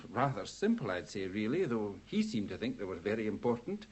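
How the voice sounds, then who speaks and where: Nervous, husky young English solicitor. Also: male voice, lowish voice, sing-song, fairly quick, a little mellow. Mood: nervous, recounting. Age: young adult. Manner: nervous, hesitant, stammering. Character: anxious, polite young solicitor wrongly suspected. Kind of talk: 1950s radio drama acting. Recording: old 1950s radio recording.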